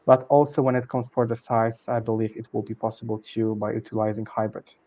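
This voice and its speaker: A man's voice: lowish voice, level tone, brisk, warm tone, slight background noise. A man answering a question in an online webinar over a video call, speaking hesitantly with many fillers. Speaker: project partner answering a question.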